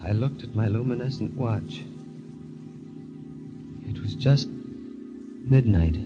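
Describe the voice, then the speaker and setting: Grim, haunted gambler, muffled radio sound. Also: male voice, medium pitch, expressive, takes it slowly. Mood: haunted, bitter. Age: young adult. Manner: grave, intense, dramatic. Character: bitter, haunted young gambler turned murderer, narrating his story. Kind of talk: old-time radio drama narration and acting. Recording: muffled vintage radio recording, music behind.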